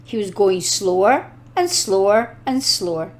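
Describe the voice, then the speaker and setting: A woman reading a children's storybook aloud in an expressive, deliberate storytelling manner. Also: mid-range voice. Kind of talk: expressive scripted storybook narration.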